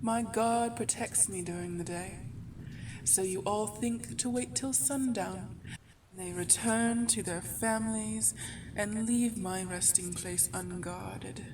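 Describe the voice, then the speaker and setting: A woman's voice: medium pitch, steady tone, slow pace, recorded from a distance, background noise. A woman playing an ancient vampire baroness in an ASMR roleplay, a mocking, seductive villain with a taunting, theatrical delivery. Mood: menacing, gloating. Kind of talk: ASMR audio roleplay acting.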